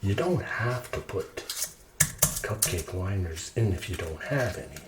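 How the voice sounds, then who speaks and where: Slow, low-voiced man in a roomy kitchen. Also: expressive, very noisy. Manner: playful, chatty, talks to himself. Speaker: host of an ASMR cooking video. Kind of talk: ASMR cooking, casual narration. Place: indoors in a kitchen. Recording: roomy echo, loud kitchen clatter and clicks. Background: clicks, dishes, cutlery and pans.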